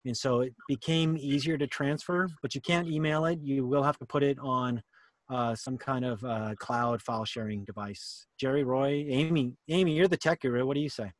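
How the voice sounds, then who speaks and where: A man in his fifties explaining things casually in a halting, think-aloud way on a video call. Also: mid-range voice. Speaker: workshop presenter. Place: small cluttered indoor room.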